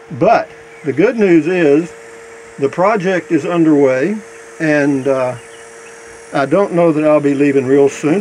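A man's voice: a little high, a little mellow, a little hiss or hum, rough recording. A man giving a folksy, rambling walkthrough of his pickup camper, filmed on a handheld phone. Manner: folksy, rambling, keeps asking "okay?". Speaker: vlogger showing his camper build. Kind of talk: casual walkthrough. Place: in and around a pickup camper shell outdoors.

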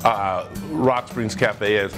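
A middle-aged man hosting a live TV studio interview, chatty and lively, laughing often, on a lapel mic. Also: fairly low pitch, slow, over music. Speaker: TV morning show host. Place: TV studio set.

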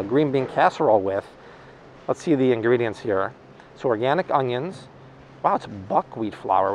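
A man's voice: mid-range voice, lo-fi sound, a little hiss or hum. A man in his thirties hosting a shop-along grocery vlog, chatting upbeat about products into a phone held at arm's length in a large store. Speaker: host.

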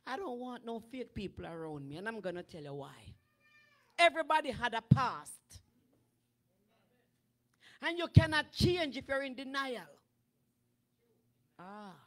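A middle-aged woman preacher delivering a sermon from a church stage into a handheld microphone, rising from chatty storytelling to shouting. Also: on the high side, a little slow, long pauses. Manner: animated preaching that builds to shouting. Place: church sanctuary with draped stage.

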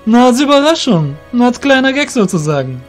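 A man's voice: very high voice, very animated, normal speed, faint music behind, pitched above the performer's own voice. A man voicing a cruel guild master, mocking and commanding, in an audio drama. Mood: gleeful mockery. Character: gloating, cruel male guild master giving orders. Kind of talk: hobby audio drama character acting.

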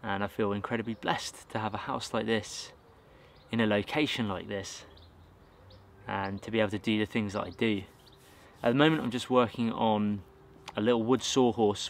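An English man in his thirties talking casually to camera outdoors in a garden, relaxed and rambling with fillers and self-corrections. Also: on the low side. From England. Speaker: outdoors vlogger. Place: outdoors in a garden by a wooden shed.

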